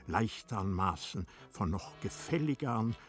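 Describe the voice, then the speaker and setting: A man narrating literary prose from a script in a measured, formal manner for radio. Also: on the low side, animated, faint music behind.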